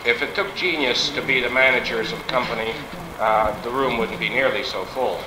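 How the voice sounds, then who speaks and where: A man giving a measured, articulate speech at a business meeting, on 1960s reel-to-reel tape. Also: mid-range voice, roomy sound, background noise. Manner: measured, articulate, formal wording. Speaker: business speaker. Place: meeting room.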